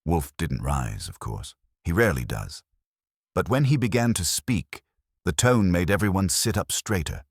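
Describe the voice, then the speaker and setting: A man narrates detective fiction in a very deep register with lively intonation. The voice has a husky grain and sits in a dry, close acoustic. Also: normal speed, dry recording. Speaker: audiobook narrator. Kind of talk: scripted first-person detective story narration.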